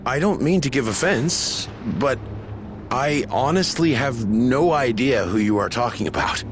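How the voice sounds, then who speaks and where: A man's voice: medium pitch, lively intonation, a little slow, background noise, natural voice. A man playing a polite, mild, apologetic official in a video game. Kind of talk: scripted video game cutscene acting.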